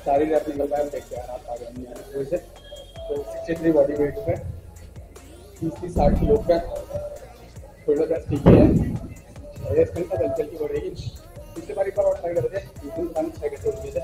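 A man's voice: on the high side, steady tone, a little fast, long pauses, music in the background, poor audio. A young man chatting casually and slangily in a gym vlog, rambling loosely with joking banter. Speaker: gym vlogger. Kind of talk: casual vlog chat, teasing banter with friends.

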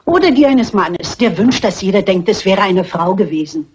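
An actress playing a shrewd, persistent elderly amateur sleuth with polite, pointed manners, in German film dubbing. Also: female voice, medium pitch, lively intonation, normal speed, darkish tone, slightly rough. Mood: probing, insistent. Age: older. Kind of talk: dubbed classic crime film dialogue. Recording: muffled old film soundtrack.

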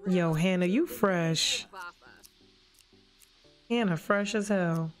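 A young woman livestreaming a life-sim game, chatting casually with her viewers in a lively, joking, profane way on a headset mic. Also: lowish voice, slow pace. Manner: lively, joking, talks back to chat, profane slang. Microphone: headset mic at desk. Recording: game music playing behind.

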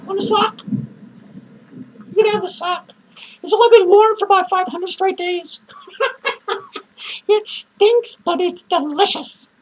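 A woman playing an unhinged, drunk male clown who rambles crudely, cackles manically and lurches between shouts and mutters. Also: extremely high pitch, steady tone, measured pace, long pauses, slight background noise, poor audio. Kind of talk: improvised character monologue to viewers.

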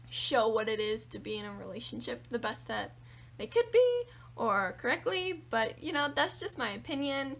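Young woman rambling about books to her cam in her room, bit raspy, kinda high voice, lots of likes and ums. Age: young adult. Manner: animated, chatty, lots of fillers and hand gestures. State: slightly raspy voice from singing. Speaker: book vlogger. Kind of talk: casual monthly reading wrap-up. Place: small room with bookshelves indoors.

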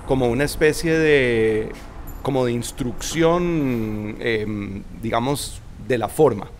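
A man's voice: medium pitch, slow pace, a little hiss or hum. A man in his forties with a Costa Rican Spanish accent, a guest in a casual interview on a shaded veranda, speaking thoughtfully with many restarts. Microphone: studio mic on boom arm.

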